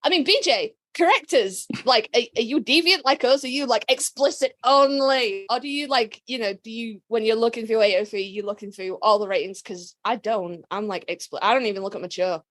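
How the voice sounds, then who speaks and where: A woman's voice: a little high, fairly quick, hardly any pauses, fairly bright tone, dry recording. A woman co-hosting a podcast in casual chat, with a blunt, irreverent, informal way of talking. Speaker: podcast co-host. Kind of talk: casual chat about fan fiction.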